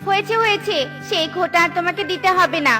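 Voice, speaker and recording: A woman's voice: very high-pitched, steady tone, quick pace, over music. A woman voicing a huffy, indignant bird wife in a cartoon, snapping back. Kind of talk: cartoon voice acting.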